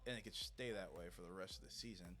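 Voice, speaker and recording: A man's voice: low voice, fast, bright, forceful tone. A man commentating an esports match as casual banter, in a wry, joking, slangy way. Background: background music.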